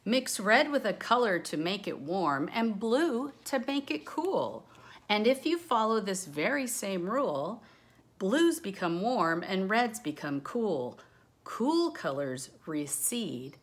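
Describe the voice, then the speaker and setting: A woman teacher reading a rhyming children's book aloud, with casual explaining asides spoken directly to young listeners. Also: medium pitch.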